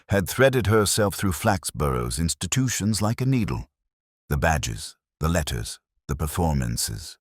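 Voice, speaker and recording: A man's voice: low voice, no echo, studio quality. A man reading scripted narration of a detective mystery audiobook.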